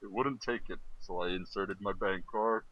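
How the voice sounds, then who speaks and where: Man as a hushed, haunted documentary witness. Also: on the low side, moderate pace, lo-fi sound, natural voice. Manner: hushed, grave. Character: haunted male witness confessing in spoof documentary. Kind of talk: mock testimony.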